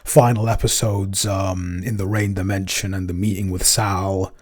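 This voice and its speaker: A man's voice: low voice, level tone, moderate pace. A man co-hosting a podcast in casual chat, hesitant, with many "um"s and restarts. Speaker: podcast co-host, producer.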